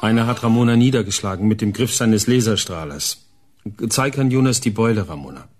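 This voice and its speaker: Man acting a worried dad, husky, on the low side, plain-spoken, halting, radio play. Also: darkish tone, lightly acted. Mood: shaken. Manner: plain, halting short statements. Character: shaken father of a kidnapped small child.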